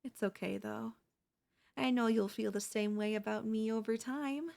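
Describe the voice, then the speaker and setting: A woman playing an obsessive female pop idol turned kidnapper in an ASMR roleplay, speaking in a soft, coaxing, doting way with menace underneath. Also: fairly high pitch, fairly even intonation, normal speed, vocal fry. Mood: soothing, possessive.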